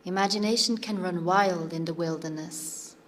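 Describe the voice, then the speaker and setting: A woman's voice: on the low side, moderate pace, a bit bright, a little hiss or hum, natural voice. Woman as an earnest fairytale storyteller, faint ambience. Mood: ominous. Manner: dramatic, evocative. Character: earnest, atmospheric female storyteller, easily irritated by interruptions. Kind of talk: scripted fairytale narration.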